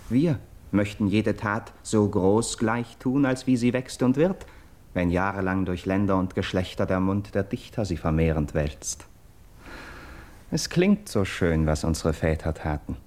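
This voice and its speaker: An actor playing a steadfast, hopeful young friend, speaking classical verse drama in an earnest, elevated manner. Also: male voice, low-pitched, a little mellow. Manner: earnest, elevated, measured declamation. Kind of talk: classical German verse drama, stage declamation.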